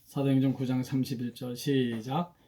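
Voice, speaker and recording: A male pastor with a hoarse voice, leading morning worship and prayer in a slow, soft-spoken, halting way. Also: medium pitch, level tone. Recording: faint guitar music behind.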